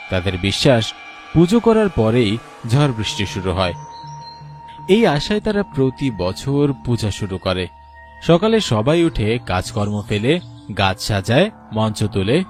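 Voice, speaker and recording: Male narrator, mid-pitched, solemn and measured horror storytelling, music underneath. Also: a little mellow. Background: music bed.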